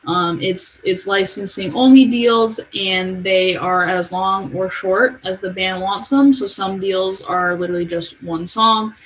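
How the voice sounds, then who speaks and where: A woman's voice: mid-range voice, steady tone, unhurried, hardly any pauses, poor audio. A woman explaining things informally, as a guest in a casual interview. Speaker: podcast guest, music company manager. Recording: clear background noise.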